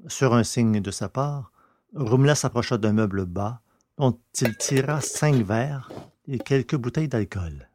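Medium-pitched man narrating fiction. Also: measured pace, darkish tone.

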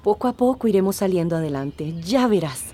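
An actress playing a loving, reassuring wife in a radio drama, gentle and tender. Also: female voice, lowish voice, animated, brisk. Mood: tender, hopeful.

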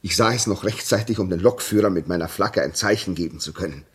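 An actor playing a haunted, anxious railway signalman in a 1950s radio drama, halting and agitated, swinging between hushed dread and crying out. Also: low-pitched, husky, exaggerated voice. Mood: grim, haunted. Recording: muffled-edged vintage 1950s radio recording.

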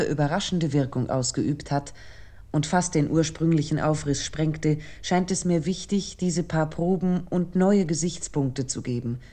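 A woman reading scripted essayistic commentary in a literary radio production, measured, articulate and serious. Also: fairly low pitch, mellow.

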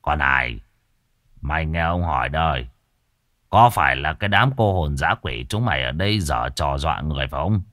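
A man voicing a stern old sorcerer who questions a ghost in a low, commanding tone. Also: animated, normal speed, fairly bright tone, dry recording, studio quality. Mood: menacing. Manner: gruff, commanding. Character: stern old male sorcerer, gruff and domineering.

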